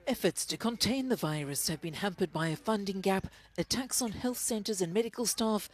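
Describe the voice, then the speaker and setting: A female news presenter reads the international stories in an even, formal way. Her pitch sits in a typical middle range. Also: brisk. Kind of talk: scripted news reading.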